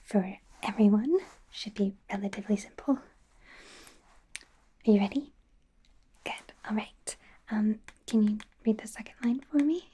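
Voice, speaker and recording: A woman playing a friendly, self-correcting werewolf doctor in an ASMR roleplay, speaking in a soft, gentle, hesitant whisper. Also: medium pitch, a little slow, long pauses, breathy edge. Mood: gentle, attentive.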